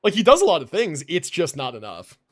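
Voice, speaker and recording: A man with a high, very animated voice is streaming a game. He talks casually, works out his moves aloud and answers chat, with plenty of slang and swearing. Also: a little fast. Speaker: game livestreamer. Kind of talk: casual gameplay commentary with chat replies.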